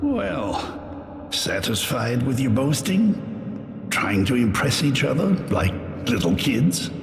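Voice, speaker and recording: A man's voice: mid-range voice, very animated, slow pace, gravelly, exaggerated voice. An actor playing a scornful, exasperated, sarcastic man in a video game. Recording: long echoing hall reverb.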